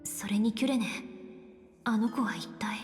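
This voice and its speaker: An actress playing a calm, resolute young heroine in a measured, pensive anime-style game monologue. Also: female voice, fairly high pitch, faint music behind, lightly acted. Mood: serious, deliberating.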